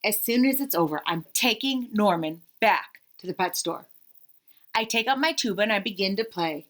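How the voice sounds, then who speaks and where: A woman narrating a children's story aloud in an expressive, emphatic way with dramatic pauses. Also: medium pitch.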